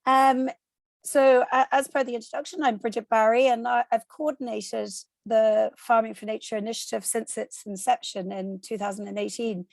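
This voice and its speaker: An Irish woman, hoarse from a cold, giving a steady online presentation over a video call and explaining with frequent ums. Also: a little high, normal speed, no echo. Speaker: guest speaker.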